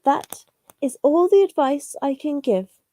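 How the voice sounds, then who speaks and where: Friendly house kitten played by a woman in a storybook: high, soft-toned, inviting. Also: expressive, measured pace.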